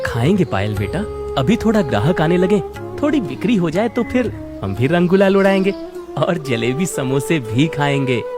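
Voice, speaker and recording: Village man for an animated tale, voiced by a man, lively pitch movement, music underneath. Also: moderate pace. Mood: gentle, eager. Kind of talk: cartoon voice acting.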